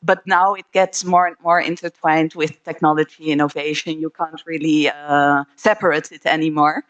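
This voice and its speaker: A middle-aged woman from the Netherlands, giving a friendly, hesitant conference talk on a stage microphone, with many filler pauses. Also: deep voice, fairly even intonation, muffled recording. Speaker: conference speaker. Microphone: handheld microphone.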